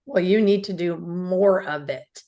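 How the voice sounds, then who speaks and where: A woman's voice: fairly low pitch, unhurried, warm tone. A woman co-hosting a podcast who jumps in with short, probing questions. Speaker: podcast co-host. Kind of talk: casual interview chat.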